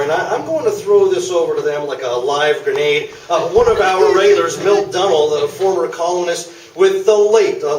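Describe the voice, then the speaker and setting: A man acts out a sardonic sports radio host in a staged radio play. His voice sits a little high, and he needles his guest in a halting, dry way. The recording is echoey, taken from some distance, with some noise. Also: natural voice. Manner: halting delivery, dryly accusatory. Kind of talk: staged radio play interview before an audience. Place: small theatre, live staged performance.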